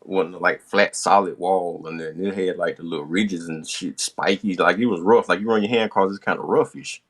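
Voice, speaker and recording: A man hosting a podcast, telling a funny personal story casually with animated, slangy retelling and heavy swearing. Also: deep voice, moderate pace. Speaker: podcast host.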